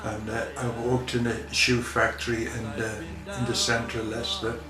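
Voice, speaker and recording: Flat-voiced Dublin man in his seventies. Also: medium pitch, moderate pace, over music. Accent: Dublin Irish. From Dublin, Ireland. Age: 70s. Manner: plain, matter-of-fact. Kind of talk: oral history recollection. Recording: roomy echo.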